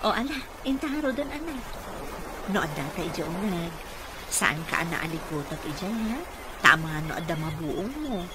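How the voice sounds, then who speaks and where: A woman's voice: lowish voice, animated, moderate pace, noisy background, lightly acted. A woman playing a kindly, dutiful mother and caregiver in an Ilocano radio comedy-drama, speaking in a respectful, motherly way. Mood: motherly, cautioning.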